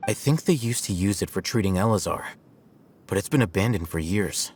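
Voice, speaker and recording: A man playing a calm, aloof, bookish young scholar in a video game, composed, dry and deadpan. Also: deep voice, brisk, a little hiss or hum. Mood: matter-of-fact. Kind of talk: understated video game dialogue.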